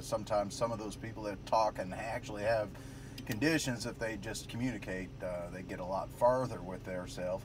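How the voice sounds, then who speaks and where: An American man chatting casually over the radio in a rambling, easygoing way, recorded in a noisy parked car. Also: on the low side. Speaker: amateur radio operator. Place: inside a parked car at roadside with windows down.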